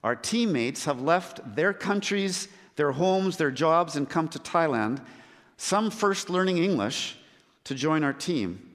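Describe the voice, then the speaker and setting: A man preaching a sermon as a missionary, earnest, with measured and emphatic pauses. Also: a little high, expressive, fairly bright tone. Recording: long hall reverb.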